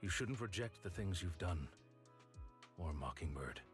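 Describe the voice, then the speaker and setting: An actor playing a stoic, quietly caring gentleman butler in a game, speaking in a measured, formal way. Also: low voice, animated. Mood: solemn, sincere. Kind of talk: scripted game story dialogue. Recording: music behind the voice.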